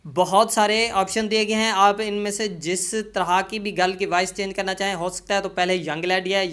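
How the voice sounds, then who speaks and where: A tutorial host speaks at a middling pitch in a casual how-to style. The narration goes step by step and addresses viewers as friends, with habitual filler phrases throughout. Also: a bit bright, clean tone.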